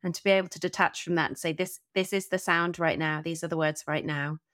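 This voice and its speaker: A woman's voice: sits low, steady tone, fast, fairly bright tone, no echo, studio quality. A woman hosting a conversational podcast interview, speaking earnestly and affirmingly with emphatic repetition.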